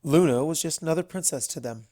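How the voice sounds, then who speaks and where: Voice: medium pitch, quick pace, mellow, dry recording, clean studio sound. A narrator reading a scripted fantasy story aloud.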